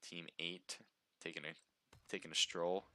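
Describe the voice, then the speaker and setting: A man giving chatty play-by-play commentary on a live mobile game match, his voice slightly hoarse from a sore throat. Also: low voice, level tone, a little slow, bright, forceful tone. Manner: chatty, upbeat, reads viewer names aloud.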